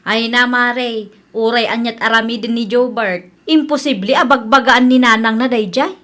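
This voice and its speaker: A woman's voice: on the high side, fairly even intonation, normal speed, a bit bright, lightly acted. A woman playing an aggrieved young mother fed up with her useless husband in a comedy radio drama, with an Ilocano accent. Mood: bitter, complaining. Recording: music behind the voice.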